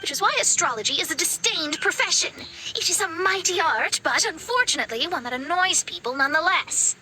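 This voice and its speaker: High-voiced, earnest young woman astrologer. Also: expressive, a little fast, bright, forceful tone, a little hiss or hum, heavily acted, poor audio. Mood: firm, indignant. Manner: formal, articulate, self-serious. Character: proud, earnest young female astrologer, prickly but soft-hearted. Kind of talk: anime game voice acting. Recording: background music behind the voice.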